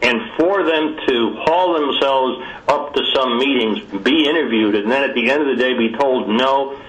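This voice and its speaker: A man with a medium-pitched voice speaks on an echoey telephone conference. He sets out his argument formally and deliberately, pausing and repeating words as he goes. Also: a bit bright. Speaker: committee call participant. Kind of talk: conference call discussion.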